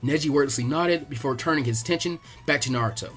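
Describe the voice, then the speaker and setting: A man's voice: medium pitch, fast talker, a bit bright, soft background music. A man narrating fan fiction as a scripted story reading.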